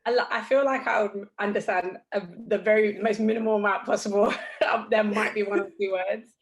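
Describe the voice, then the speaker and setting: A young woman from Zimbabwe answering interview questions over a video call, hesitant with many ums and soft laughs. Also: mid-range voice, steady tone, normal speed. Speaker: guest.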